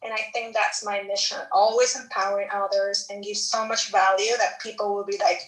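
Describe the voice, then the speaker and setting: A woman's voice: medium pitch, flat delivery. Peruvian lady coach, eager motivational chat, sounds far off in an echoey room. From Peru. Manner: eager, motivational, quick bursts. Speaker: online business coach. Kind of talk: live audio room chat. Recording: roomy, distant mic.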